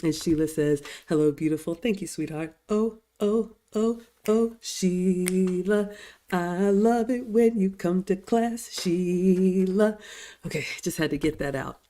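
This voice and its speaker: A low-voiced, middle-aged woman with a slightly raspy edge, still getting over a cold. She hosts a casual livestream, chatting away and answering viewer comments in a warm, mellow tone. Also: slow pace. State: recovering from a cold. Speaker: livestream host and etiquette teacher.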